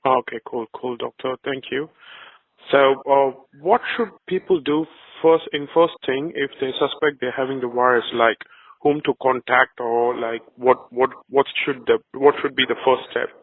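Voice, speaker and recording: A man's voice: medium pitch, steady tone, moderate pace. A man interviewing casually over the phone, asking questions in an informal, hesitant way and rephrasing as he goes. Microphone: telephone. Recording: tinny narrowband phone line.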